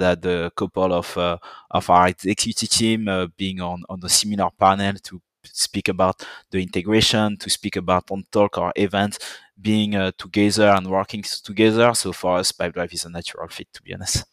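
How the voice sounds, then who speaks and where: A young man with a French accent, a guest on a business podcast, speaking in deliberate, halting second-language phrasing. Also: low voice, fairly even intonation, dry recording. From French Caribbean island. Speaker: guest, partner account manager.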